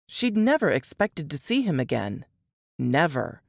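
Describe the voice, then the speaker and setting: A woman's voice: deep voice, sing-song, measured pace, vocal fry, dry recording, studio quality. A woman reading a scripted suspense novel as an audiobook narrator.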